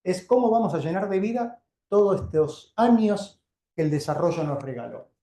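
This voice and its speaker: An Argentine man gives a public lecture in a conversational, didactic way. His voice sits fairly high for a man and has a slightly soft, mellow tone. The sound is roomy and echoing, as in a live hall talk. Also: lively intonation, normal speed. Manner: conversational, didactic, frequent check-in questions. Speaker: doctor giving a keynote lecture. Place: indoor lecture venue before an audience.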